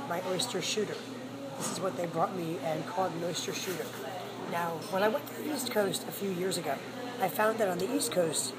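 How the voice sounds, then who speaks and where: A hoarse woman hosting her own food vlog, chatting frankly about her meal while sitting in a seafood restaurant. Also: on the low side. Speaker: food vlogger. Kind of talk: casual restaurant review vlog. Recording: loud background noise.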